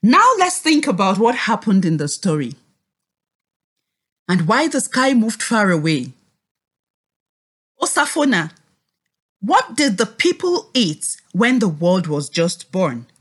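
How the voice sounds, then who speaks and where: A woman teaching a read-aloud lesson to children in an expressive, encouraging, deliberate way. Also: mid-range voice, husky.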